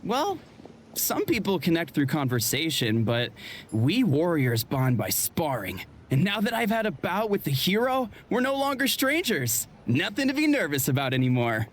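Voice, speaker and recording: A man's voice: a little high, huge pitch swings, moderate pace, slight background noise. A man playing an earnest, friendly young warrior guard in a video game, with a hearty delivery.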